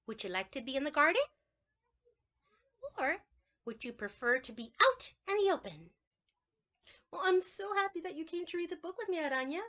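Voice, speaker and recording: A woman teacher reads a picture book to preschoolers in a very high voice. Her pitch swoops widely as she stretches words and talks directly to the children. The sound is slightly dull and lo-fi. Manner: expressive, drawn-out storytelling, addressing children directly. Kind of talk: picture-book read-aloud for young children, with asides and questions.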